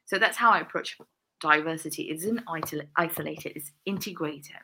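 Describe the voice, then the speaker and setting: Podcast guest: woman, lower pitch, bright tone, earnest and laughing often. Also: fairly quick, gravelly, rough recording. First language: English. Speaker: guest, workplace diversity consultant. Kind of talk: podcast interview answers.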